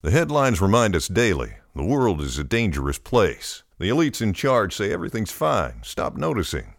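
Grave low-voiced male ad announcer. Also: big pitch swings, normal speed, gravelly, dry recording, studio quality. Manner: grave, urgent. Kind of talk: scripted ad read.

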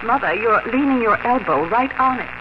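A woman's voice: on the high side, expressive, a little fast, lightly acted. A young woman playing an eager, persuasive grown daughter in a 1950s radio drama, gushing and emphatic. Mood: excited coaxing. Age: young adult. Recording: very noisy, dull 1950s radio recording.

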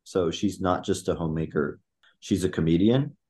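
A middle-aged man talking as a podcast guest, thoughtful and halting, with frequent fillers and restarts. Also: low-pitched, warm tone. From Thailand. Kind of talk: conversational interview.

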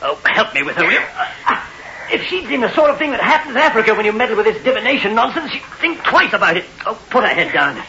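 A scornful, bad-tempered husband rattles out indignant scolding in a high, swooping, forceful voice. It has the dull sound of an old radio play. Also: male voice, very animated, quick pace, noisy background. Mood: angry, scornful. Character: irritable, scornful husband who despises fortune telling. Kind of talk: 1960s radio drama acting.

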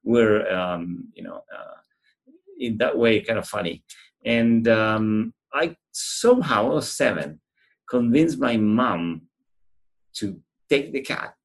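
A middle-aged man with an Argentine accent, answering interview questions in an earnest, halting, conversational way. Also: on the low side, animated, vocal fry. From central Argentina. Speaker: animal shelter representative being interviewed.

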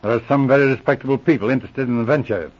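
An actor as a husky, measured police inspector. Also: male voice, medium pitch, close to an everyday voice. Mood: methodical, confiding. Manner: measured, explanatory, authoritative. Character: seasoned police inspector, calm, methodical, philosophical senior detective. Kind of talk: 1940s radio drama acting. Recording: dull, muffled old radio recording.

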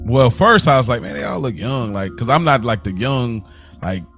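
A man's voice: medium pitch, animated, moderate pace, a bit bright, soft background music. An American man co-hosting a podcast, talking in an informal, slangy conversational way. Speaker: podcast co-host. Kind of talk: casual chat.

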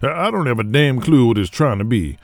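Brief: pushy cowboy wrestling boss, raised voice, lively and gravelly, a man puts him on. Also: mid-range voice, fairly quick, slight background noise. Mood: impatient. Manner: impatient, coaching. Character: bossy male cowboy wrestling promoter, impatient.

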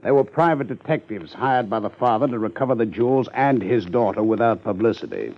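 A man's voice: medium pitch, a little fast, lightly acted. A man acting a blunt, authoritative lawman in a 1950s radio drama, with emphatic delivery. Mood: matter-of-fact. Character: blustery, authoritative lawman, indignant then soberly blunt. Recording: muffled 1950s radio recording.

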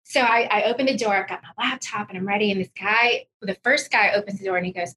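A woman's voice: medium pitch, quick pace, sharp tone, slight background noise. A woman speaking as an interview guest, telling personal stories conversationally with frequent "you know" asides. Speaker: podcast guest, financial services executive.

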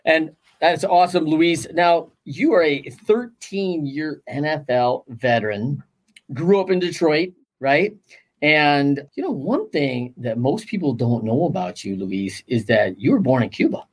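A man's voice: a little high, very animated, measured pace, husky. Upbeat, chatty male podcast host. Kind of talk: interview conversation.

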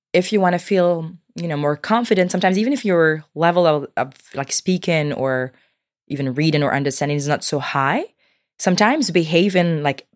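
A woman with a Brazilian accent, co-hosting a podcast in animated casual conversation, often laughing and saying "you know". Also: lowish voice, no echo, studio quality. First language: Brazilian Portuguese. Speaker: podcast co-host.

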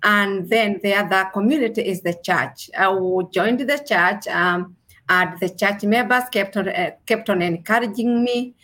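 A woman from Kenya with a Kenyan accent, a registered nurse answering interview questions earnestly, with measured pauses. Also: mid-range voice, fairly bright tone.

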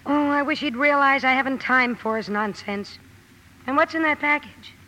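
Bright, headstrong tomboy young woman. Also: high-pitched, moderate pace. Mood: brusque, impatient. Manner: brusque, emphatic. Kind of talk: 1940s-50s radio drama acting. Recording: noisy vintage radio broadcast recording.

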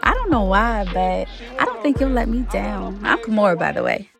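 A woman playing a guarded, self-assured older love interest in an audio drama, speaking in a cool, deliberate, teasing way. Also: mid-range voice, big pitch swings, creaky, over music. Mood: softened, interested.

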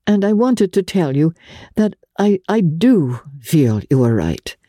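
A woman voicing a refined, earnest young society lady in a dramatic storybook reading, halting and breathless. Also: fairly low pitch, big pitch swings, mellow, dry recording, studio quality, voice pushed up.

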